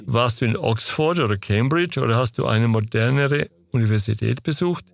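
A man answering interview questions in a steady, lecturing manner. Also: mid-range voice, normal speed, gravelly, no room sound. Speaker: interview guest.

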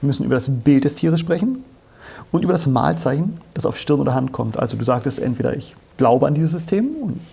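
A man with a mellow, husky voice explains scripture in conversation. He is didactic and conversational, with a creaky edge, and often poses a question before answering it. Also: medium pitch, a little fast. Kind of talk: conversational Bible exposition.

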